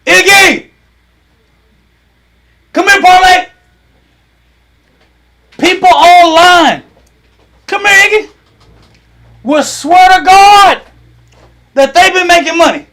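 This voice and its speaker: A man in his thirties hosting a lively talk, teasing and quizzing his online audience. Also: very high voice, slow pace, long pauses. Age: 30s. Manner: animated, teasing, asks the audience questions. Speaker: host.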